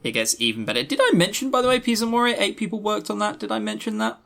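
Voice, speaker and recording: A man co-hosting a podcast in casual chat, with a sarcastic, sweary, animated way of talking. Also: high voice, quick pace, creaky. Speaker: podcast co-host. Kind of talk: casual chat reviewing visual novels.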